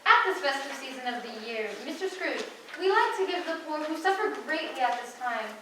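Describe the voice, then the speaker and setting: A woman's voice: high-pitched, lively intonation, a little fast. A young woman student playing a polite, formal charity collector in a radio play. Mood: polite, earnest. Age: teenager or young adult. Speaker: student actor. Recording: echoey room, far mic, clear background noise.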